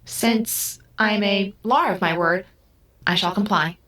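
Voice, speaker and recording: A literal-minded female artificial intelligence voiced by an actress at a medium pitch. She speaks with formal, stilted precision, like the computer mind of a smart house in an audio drama. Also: slow pace, fairly bright tone. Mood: matter-of-fact.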